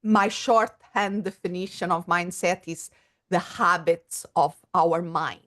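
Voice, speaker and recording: A middle-aged woman with a Portuguese accent speaks in a low register. She explains ideas thoughtfully and searches for words, with frequent small pauses and fillers. The talk is a relaxed interview. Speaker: guest psychologist and coach. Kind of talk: casual interview conversation.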